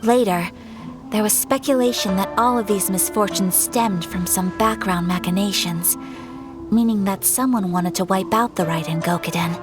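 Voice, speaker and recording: A woman playing a poised, courteous young noblewoman in a video game, speaking in a formal, measured, polite way. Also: mid-range voice, natural voice. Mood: composed, explanatory. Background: music.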